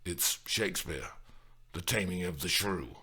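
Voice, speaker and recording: A man voicing an earnest, apologetic and mildly wry man in audiobook dialogue, measured and placating. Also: low voice, animated, sharp tone, slightly rough, voice pushed down, exaggerated voice.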